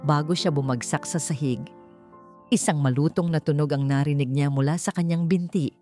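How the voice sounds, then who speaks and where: Filipino lady reading a dramatic love story, lowish voice, music under it. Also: darkish tone. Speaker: story narrator. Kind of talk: dramatic scripted narration of a romance story.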